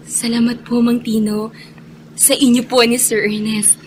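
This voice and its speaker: Radio drama actress as humble young female newcomer, respectful, fairly high voice. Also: level tone, unhurried, noisy background, close to an everyday voice. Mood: shy, grateful. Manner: deferential, polite. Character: meek, grateful, respectful young woman newcomer. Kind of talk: Filipino radio drama acting.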